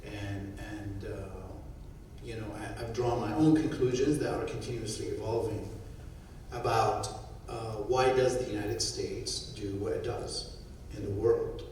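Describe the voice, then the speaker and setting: A man's voice: lowish voice, a little slow, a little mellow, slight background noise. A middle-aged man from Syria speaking without notes on a conference panel, thoughtful and given to restarting his sentences. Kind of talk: unscripted panel talk. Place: conference hall. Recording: echoey hall, from far off.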